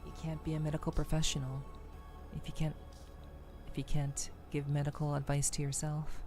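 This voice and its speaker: A woman's voice: sits low, completely flat delivery, moderate pace. A woman livestreaming a game as a VTuber, reading dialogue aloud and commenting on it. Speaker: streamer, VTuber. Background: faint background music.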